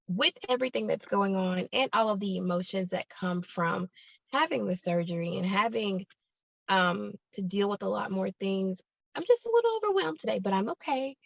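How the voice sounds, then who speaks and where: A woman, a podcast guest who talks softly and hesitantly with many pauses. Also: medium pitch, vocal fry, dry recording, dull audio. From Alabama, United States. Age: 30s. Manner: soft-spoken, hesitant with many ums, laughs easily. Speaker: guest, YouTuber. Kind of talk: casual podcast chat.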